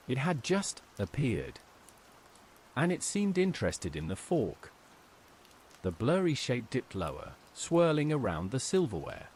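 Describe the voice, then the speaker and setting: A man narrating a scripted first-person horror story in a measured, suspenseful way. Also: mid-range voice, darkish tone, slight background noise.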